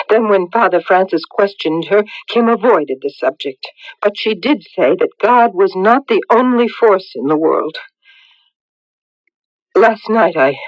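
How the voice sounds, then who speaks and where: A woman's voice: lowish voice, dry recording. An actress playing an earnest woman in an eerie 1980s radio melodrama. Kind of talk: 1980s radio drama acting. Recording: muffled old radio broadcast.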